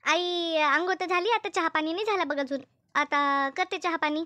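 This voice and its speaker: Woman voicing a shrill, grumbling cartoon village housewife. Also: normal speed, bright, forceful tone. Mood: chatty. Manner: colloquial, repetitive, grumbling. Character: Shanta, complaining rural Marathi housewife and mother. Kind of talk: comedy cartoon dubbing, everyday domestic chat.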